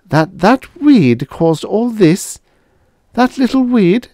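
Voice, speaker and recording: A man voicing an anxious, quick-minded small-town woman. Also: medium pitch, very animated, mellow, dry recording, clean studio sound, pitched above the performer's own voice. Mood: shocked. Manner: urgent, raised voice.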